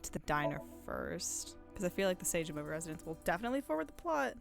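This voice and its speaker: A woman's voice: lowish voice, very animated, fast talker, creaky, soft background music. A woman co-hosting a game playthrough with casual, emphatic, exasperated commentary.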